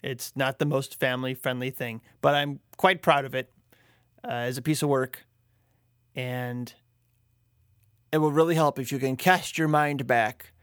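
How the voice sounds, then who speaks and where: A man's voice: mid-range voice, fairly bright tone, clear, resonant tone, dry recording. Dry, close-miked man introducing his show. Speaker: show host and comedy creator. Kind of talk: casual spoken introduction.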